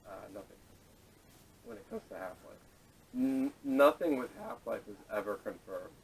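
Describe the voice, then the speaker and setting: A man's voice: on the low side, huge pitch swings, normal speed, slight background noise. A man hosting a podcast in casual, bantering conversation, picked up by a camera microphone. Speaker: podcast host. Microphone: camera audio from a distance. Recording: distant camera microphone, poor audio.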